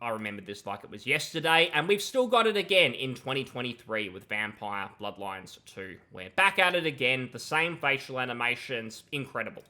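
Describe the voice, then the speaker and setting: A man's voice: mid-range voice, expressive, brisk, hardly any pauses, sharp tone. A young man giving critical commentary on a video game in a sardonic, emphatic manner. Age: young adult. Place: small room at home, indoors. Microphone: close desk microphone with headset.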